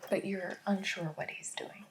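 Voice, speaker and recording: A woman playing a patient, probing police interrogator in an ASMR roleplay, speaking gently in a hushed near-whisper. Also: mid-range voice, steady tone, normal speed, close to an everyday voice. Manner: gentle, methodical, echoing back answers. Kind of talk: soft-spoken ASMR roleplay.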